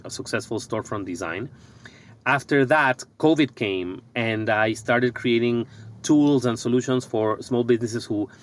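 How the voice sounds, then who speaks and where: Middle-aged man from Ecuador, podcast guest, mid-pitched, reflective, halting delivery. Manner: thoughtful, pauses and restarts often. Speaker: guest, architect and business coach. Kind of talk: conversational podcast interview.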